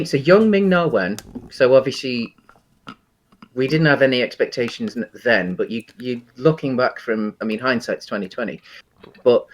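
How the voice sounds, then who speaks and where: A man co-hosting a casual film discussion podcast, talking enthusiastically in a hesitant, halting, rambling way. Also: mid-range voice. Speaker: podcast host.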